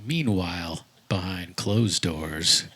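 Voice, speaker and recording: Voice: low voice, slow pace, a bit bright, husky. A narrator reading scripted stage action for a comedic radio play.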